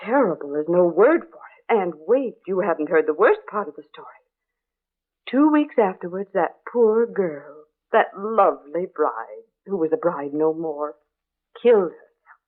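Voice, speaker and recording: A woman's voice: fairly low pitch, expressive, moderate pace. Older busybody woman, dramatic and ominous storyteller, 1940s-style radio acting, muffled band-limited recording. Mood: tragic, dramatic. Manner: chatty, exclamatory, ominous storytelling. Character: gossipy, superstitious older female neighbor with rheumatism, dramatic and morbid.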